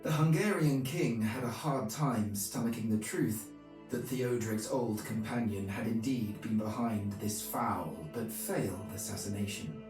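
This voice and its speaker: An actor playing a medieval knight chronicler, narrating a video game's story in a grave, measured way. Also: male voice, fairly low pitch, close to an everyday voice. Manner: measured, solemn. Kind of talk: scripted dramatic narration. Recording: echoey, distant, under music.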